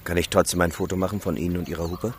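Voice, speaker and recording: A watchful photographer in a radio play, dry, terse and polite. His male voice is extremely deep, with faint outdoor ambience around it. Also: fairly even intonation, rapid-fire, a little hiss or hum. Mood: wary, wry. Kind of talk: radio drama dialogue.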